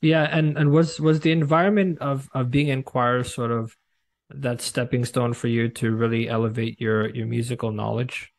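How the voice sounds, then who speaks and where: A young man hosts a casual podcast from his home room over a video call. He asks questions eagerly and often restarts his sentences with fillers. His voice has a plain, mid-range pitch. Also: flat delivery, normal speed, vocal fry. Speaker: podcast host.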